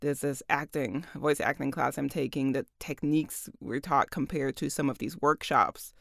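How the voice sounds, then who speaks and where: A woman voice actor with a deep voice, chatting casually about her work, laughing often and using lots of fillers. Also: steady tone, normal speed, vocal fry, fairly bright tone, dry recording, studio quality. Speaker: voice actor and audiobook narrator.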